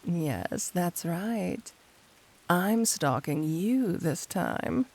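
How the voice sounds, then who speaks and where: A woman's voice: lowish voice, expressive, creaky, a little mellow, full character voice. A woman playing a flirty, teasing supervillain cat burglar in an intimate ASMR roleplay, speaking coyly to the listener. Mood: playful, flirty. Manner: coy, teasing, intimate, unhurried.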